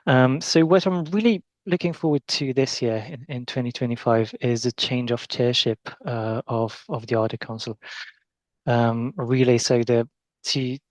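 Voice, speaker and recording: A man from Belgium, an international lawyer, speaking on a panel over a video call in a halting way with many restarts. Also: mid-range voice, fairly even intonation, dry recording. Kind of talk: webinar panel introduction.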